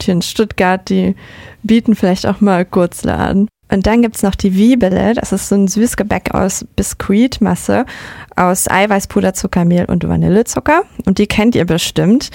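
A woman's voice: lowish voice, gravelly, a little mellow, no room sound, clean studio sound. A young woman whose first language is Russian, co-hosting a casual podcast chat and talking with many hesitations. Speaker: podcast co-host.

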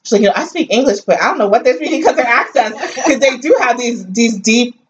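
A woman's voice: fairly high pitch, fast. A woman with a New York accent, talking bluntly and with swearing in a casual chat about a reality show. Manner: blunt, profane, animated. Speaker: co-host. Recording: distant, dull, faint hiss.

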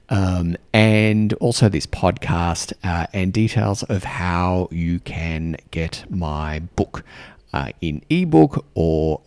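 A man's voice: sits low, darkish tone, dry recording. A middle-aged Australian man with a mild Australian accent, hosting a solo podcast in a reflective, rambling way full of ums and uhs. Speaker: podcast host and diplomatic mentor. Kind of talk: casual solo podcast talk.

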